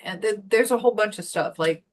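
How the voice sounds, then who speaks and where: A woman's voice: mid-range voice, fairly quick. A woman talking casually as an interview guest on a video call, chatty and full of fillers. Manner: chatty, many fillers and restarts. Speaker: community founder guest.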